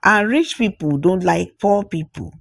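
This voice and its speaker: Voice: medium pitch, expressive, measured pace, vocal fry, no room sound, studio quality. A storyteller voicing a small, candid, proud village boy in a folktale, with frank and earnest child talk.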